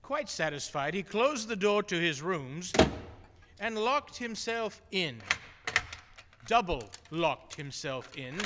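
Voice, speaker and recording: A man's voice: high-pitched, big pitch swings, takes it slowly. A man telling a story as the narrator of a radio play. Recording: echoey hall, noisy stage recording.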